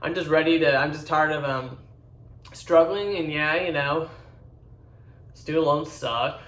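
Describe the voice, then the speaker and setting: A deep-voiced woman in her forties chatting casually to her viewers, rambling, blunt and candid. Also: measured pace, fairly bright tone, distant mic. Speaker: vlogger. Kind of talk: casual chat to camera. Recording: echoey room, voice a bit off-mic.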